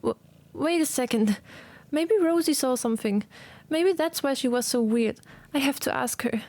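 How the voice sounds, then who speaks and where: Woman acting high-voiced distraught fantasy girl. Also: darkish tone, no echo. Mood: anxious, resolving. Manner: desperate, halting, stammering questions. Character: distraught, frightened but determined girl in fantasy story. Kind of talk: dramatised audio play acting.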